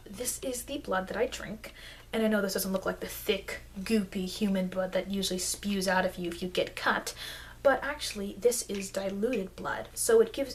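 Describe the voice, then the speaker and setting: Casting: woman as chatty female vampire lecturer for ASMR roleplay, medium pitch, halting and reassuring, slightly noisy home microphone. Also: close to an everyday voice. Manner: halting, rambling, chatty explanations full of asides. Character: friendly rambling female vampire lecturer, eager to reassure humans. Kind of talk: improvised ASMR roleplay monologue. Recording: noisy, low-grade microphone.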